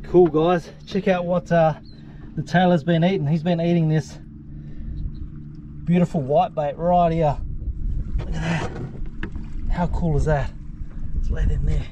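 An Australian man in his thirties talks over a high, lively voice as he fishes from a kayak, exclaiming often at each catch. He's recorded outdoors on an action camera, with loud wind and water noise all around. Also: measured pace, long pauses, dull audio. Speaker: fishing vlogger. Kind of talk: excited fishing commentary. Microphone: action camera on the boat.

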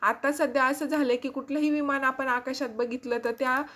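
Woman, high voice, YouTube presenter, explanatory news narration. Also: little pitch movement, normal speed, fairly bright tone.

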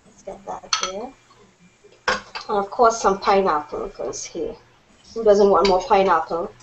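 A woman's voice: mid-range voice, a little slow, lo-fi sound. A woman in her thirties hosting a live cooking show from her home kitchen, narrating each step as she plates food and chatting on a video call. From Trinidad and Tobago. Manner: chatty, laughing, narrating her own steps. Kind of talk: live cooking demonstration chat. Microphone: webcam on a video call. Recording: noisy webcam audio.

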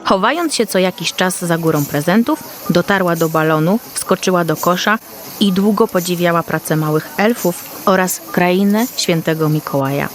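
Lowish-voiced woman narrating a fairy tale. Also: normal speed, slight background noise. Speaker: storyteller. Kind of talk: scripted children's story narration.

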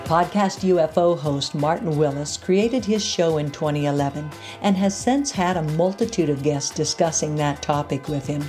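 A woman hosting a podcast, reading a scripted case report and introduction in a measured, earnest way. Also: deep voice, over music. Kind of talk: scripted narration.

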